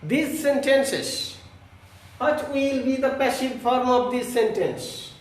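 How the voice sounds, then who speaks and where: A man giving a grammar lesson to students as their teacher, explaining in a deliberate, repetitive way. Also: extremely high pitch, animated. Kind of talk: classroom lesson addressed to students. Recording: echoey room, distant microphone.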